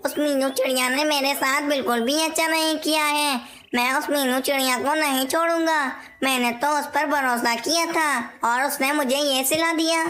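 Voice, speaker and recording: A woman's voice: sits high, steady tone, normal speed, fairly bright tone. A woman voicing an anxious, indignant father parrot who rules as king in a cartoon story. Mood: angry, hurt. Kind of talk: cartoon moral-story dialogue.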